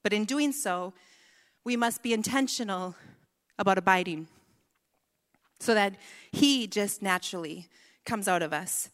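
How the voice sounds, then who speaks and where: A woman's voice: medium pitch, unhurried, long pauses, a bit bright. A woman speaker telling a playful childhood story to a women's gathering before turning to scripture and prayer. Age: adult with a son. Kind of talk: sermon. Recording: echoey hall.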